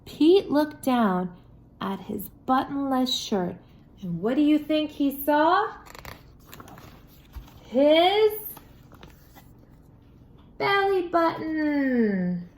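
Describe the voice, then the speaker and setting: A woman with a high voice reads a children's story aloud at a slow, deliberate pace. Her delivery is expressive and sing-song, as if talking straight to small children. The room adds a noticeable echo around her voice. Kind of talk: read-aloud for young children with sung refrains.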